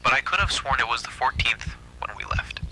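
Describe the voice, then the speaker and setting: Man voicing uneasy woman camper, quietly urgent. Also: medium pitch, animated, brisk, in a whisper, close to an everyday voice, rough recording. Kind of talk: horror story character dialogue.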